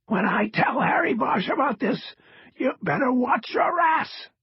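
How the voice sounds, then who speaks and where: High, raspy, paralyzed ex-cop, defiant. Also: male voice, sing-song, no echo, muffled recording, exaggerated voice. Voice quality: raspy, strained high wheeze. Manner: halting, defiant, foul-mouthed. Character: frail paralyzed male ex-cop, defiant, gasping through breathing tubes.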